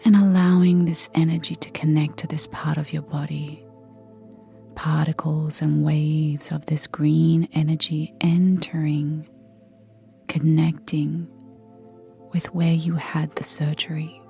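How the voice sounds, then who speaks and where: Female meditation guide, low and gentle, soft breathy whisper, long unhurried pauses, light music underneath. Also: level tone. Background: soft background music.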